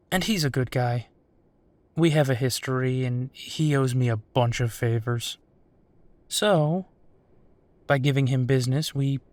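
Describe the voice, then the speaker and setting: A man's voice: medium pitch, darkish tone, no room sound, close to an everyday voice. A man plays a werewolf private investigator in an intimate audio drama. He takes his time over each phrase, sounding protective and fond, with a teasing edge beneath his dogged resolve. The sound is dry, with no room around the voice. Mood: matter-of-fact. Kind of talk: roleplay audio drama, intimate one-sided dialogue.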